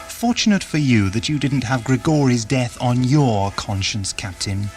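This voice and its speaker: A man playing a wry, gently sardonic time-travelling adventurer in an audio drama, speaking in a dry, understated way. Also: mid-range voice, animated, normal speed, faint music behind, lightly acted.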